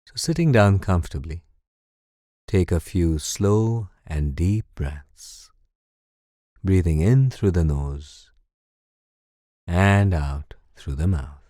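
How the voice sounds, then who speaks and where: A man leading a guided meditation in a calm, soothing, measured way. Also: deep voice, no room sound, clean studio sound. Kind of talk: slow guided meditation narration.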